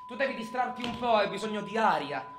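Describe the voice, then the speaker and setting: A man's voice: sits high, expressive, brisk. An actor playing a menacing, taunting criminal who goads his old accomplice in a theatrical radio drama monologue, mocking and coaxing by turns. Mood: goading, coaxing. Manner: mocking, coaxing, swings between murmurs and outbursts. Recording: roomy echo, faint background noise.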